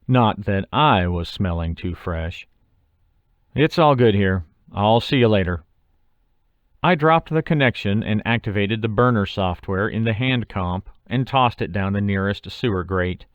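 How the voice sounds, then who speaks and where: A man's voice: lowish voice, clear, resonant tone, dry recording, phone-quality sound. A man reading his own short story aloud as scripted first-person audiobook narration.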